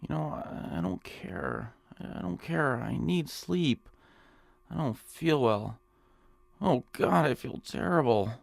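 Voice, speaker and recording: A man's voice: medium pitch, very animated, slow pace, gravelly, no room sound, exaggerated voice. A man playing a timid, put-upon nebbish in a comic radio drama, whining, stammering and apologetic. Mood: groggy self-pity.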